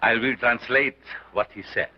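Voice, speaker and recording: An actor playing a grandiose sideshow scientist who declaims in a pompous, theatrical way, on a dull old film soundtrack. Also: male voice, mid-range voice, normal speed, noisy background, lightly acted. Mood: solemn. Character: grandiose male carnival scientist, commanding and theatrical.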